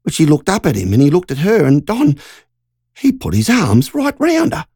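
A woman's voice: deep voice, wildly swooping pitch, normal speed, mellow, slightly rough, dry recording, studio quality, pitched above the performer's own voice, full character voice. A narrator voicing Margie, a shaken country woman who tells a frightening story in an urgent, breathless rush. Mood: indignant.

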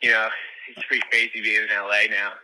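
A man's voice: lowish voice, level tone, quick pace, pushed and bright, rough recording. A man leaving a casual, rambling voicemail while walking uphill, heard as a played-back message. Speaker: voicemail caller. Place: outdoors, walking on hilly streets.

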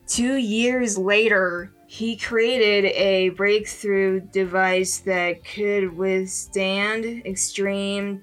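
A woman reads prepared narration at a very slow, halting pace, often pausing mid-phrase. Her pitch is average, and soft music plays behind her. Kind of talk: scripted narration, reading a biography aloud.

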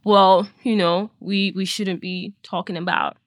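A woman's voice: medium pitch, level tone, unhurried, dry recording, studio quality. A woman raised in Nigeria, a podcast guest talking in a reflective, emphatic way with many fillers. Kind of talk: conversational discussion.

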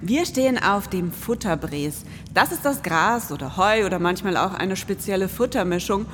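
A woman's voice: medium pitch, animated, moderate pace, a little hiss or hum. Woman podcaster in reverberant space. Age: 40s. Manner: explanatory. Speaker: podcast co-host. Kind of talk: scripted, performative podcast narration. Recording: long reverb, music underneath.

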